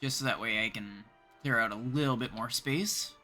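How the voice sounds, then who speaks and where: A man's voice: mid-range voice, moderate pace, pushed and bright, faint music behind. A man with a Canadian accent, chatting casually as a livestream host and thinking aloud while he plays.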